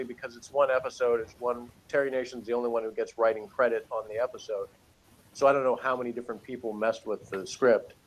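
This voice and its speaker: A man's voice: mid-range voice, a bit bright, slight background noise, poor audio. A man giving a casual, opinionated review as a podcast co-host, rambling and emphatic in an exasperated way.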